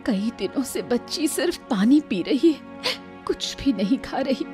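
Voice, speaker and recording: A woman's voice: a little high, animated, measured pace, warm tone, faint music behind. A woman playing a fearful, despairing mother in a cartoon drama, speaking with worry and sorrow. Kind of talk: animated moral story drama.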